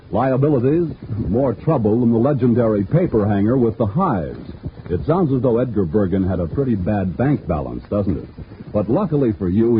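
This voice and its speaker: A male radio announcer delivers a polished, upbeat pitch, his voice swinging up and down with lots of life. The old broadcast sounds muffled, with a faint hiss underneath.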